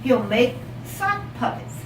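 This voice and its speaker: High, lively woman reading aloud, echoey room. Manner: encouraging, repeats children's answers; expressive, drawn-out emphasis on story words. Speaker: teacher reading a picture book to young children. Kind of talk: interactive read-aloud storytelling with questions. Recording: echoey room, distant mic, loud background noise.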